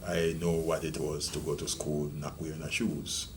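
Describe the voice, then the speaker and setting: Deep Jamaican man in his sixties. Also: little pitch movement, noisy background. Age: 60s. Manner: measured, reminiscing, with many ums and restarts. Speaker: retiring police deputy commissioner. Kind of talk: reflective interview storytelling.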